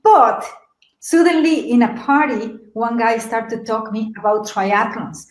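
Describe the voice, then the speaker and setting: A middle-aged woman from Mexico City with a Mexican Spanish accent, giving a lively, animated motivational talk on a webinar and asking her audience questions. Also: fairly high pitch, unhurried, echoey room, low-quality recording. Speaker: webinar presenter.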